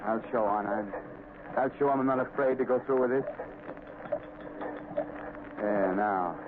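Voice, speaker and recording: An actor playing a nervous, desperate saboteur in a 1930s radio drama, talking in a tense, blustering, fast way. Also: male voice, mid-range voice, noisy background, close to an everyday voice. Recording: tinny old radio recording.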